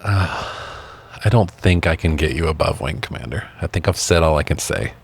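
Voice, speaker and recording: Deep-voiced man bantering about movies. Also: animated, moderate pace, creaky, breathy edge. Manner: profane, self-deprecating geeky banter. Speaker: podcast co-host. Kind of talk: casual movie-review chat.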